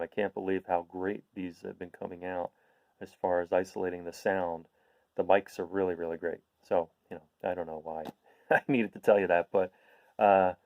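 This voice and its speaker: Low-voiced man, dry and chatty storyteller. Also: darkish tone, no room sound, lo-fi sound. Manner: chatty, explanatory. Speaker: host of a read-aloud series. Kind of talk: casual introduction and expressive storybook reading for children.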